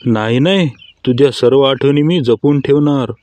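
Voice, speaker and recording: A man's voice: mid-range voice, normal speed, a little mellow, lightly acted. A man playing a sarcastic, teasing husband in scripted comedy cartoon skits. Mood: dry, teasing.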